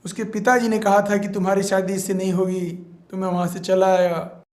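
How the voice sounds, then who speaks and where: A man voicing a frightened man who begs in a fast, high-pitched voice to save his family. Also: little pitch movement, darkish tone, natural voice. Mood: shocked. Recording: echoey room.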